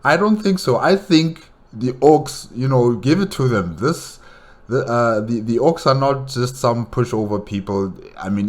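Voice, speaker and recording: A man hosting a reaction video with casual, halting, repetitive commentary, often dropping in "you know". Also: deep voice.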